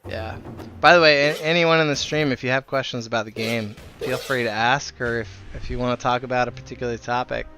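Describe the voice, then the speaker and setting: A man's voice: medium pitch, steady tone, brisk, bright, forceful tone, soft background music. A man streaming a game live, chatting casually in a friendly, rambling way. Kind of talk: casual chat while streaming.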